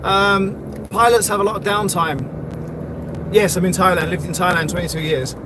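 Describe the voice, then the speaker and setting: A middle-aged man is livestreaming from his car, rambling along in a chatty, sweary way with lots of ums and uhs. His voice sits a bit high for a man. Heavy road noise and traffic roar fill the background. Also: fairly even intonation, moderate pace. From London, England. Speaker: livestreaming vlogger. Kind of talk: casual live chat while driving. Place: in a vehicle on city roads near an airport. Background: loud traffic and road noise.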